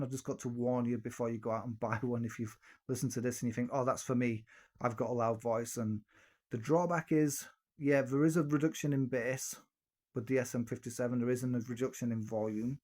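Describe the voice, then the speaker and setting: A man's voice: medium pitch, a little mellow. A man talking casually through a tutorial into a tilted handheld dynamic mic, rambling with many fillers and restarts. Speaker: video host giving singing mic tips.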